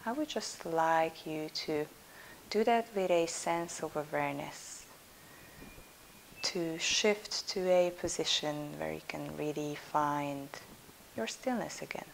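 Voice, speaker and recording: Woman, yoga nidra instructor, very slow pace, soothing, soft breathy phrase endings, gravelly fry, long pauses, guided meditation. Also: low-pitched, low-quality recording. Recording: steady background hiss.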